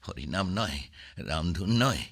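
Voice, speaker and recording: An actor playing a sardonic, bitter figure in a radio drama, talking dryly with wry laughter. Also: male voice, sits low, expressive, unhurried, a bit bright, breathy edge, exaggerated voice. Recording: radio play with faint music.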